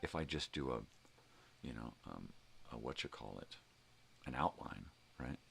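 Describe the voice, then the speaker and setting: Older male livestream host: extremely low, creaky voice, folksy off-the-cuff rambling. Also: animated, moderate pace, fairly bright tone, slight background noise. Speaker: host of a daily livestream show. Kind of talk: casual rambling livestream chat.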